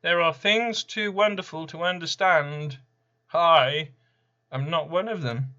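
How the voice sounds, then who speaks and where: Smug fifth horseman played by a man, taunting and deadpan, higher-pitched male voice, very unhurried delivery, poetic monologue. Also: highs cut off. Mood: sly, smug. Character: RanDumb, smug casual fifth Horseman of the Apocalypse. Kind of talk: dramatic poetry monologue.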